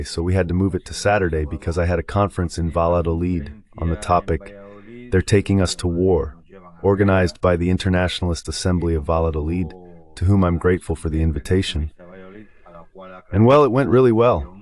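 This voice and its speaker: Low-voiced man chatting as a guest. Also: a little mellow. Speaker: guest commentator. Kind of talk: conversational remarks, translated voice-over.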